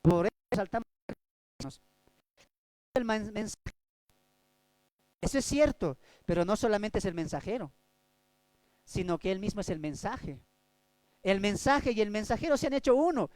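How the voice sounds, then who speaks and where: A man from Bolivia, preaching a Bible study sermon as a pastor, swinging between loud and soft delivery. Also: sits high, lively intonation, moderate pace, long pauses, no room sound, rough recording.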